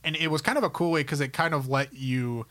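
A man's voice: on the high side, fairly quick, a bit bright. A man co-hosting a podcast, talking about films and games in an enthusiastic, rambling, conversational way. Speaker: podcast co-host. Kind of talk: casual film and gaming chat.

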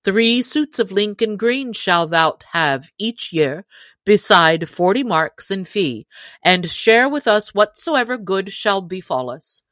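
Woman playing a jolly outlaw chief, hearty, commanding, raised voice, loud, storybook. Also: mid-range voice, animated, slow pace, dry recording, pitched above the performer's own voice. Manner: hearty, commanding, archaic speech. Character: jolly bold male outlaw leader.

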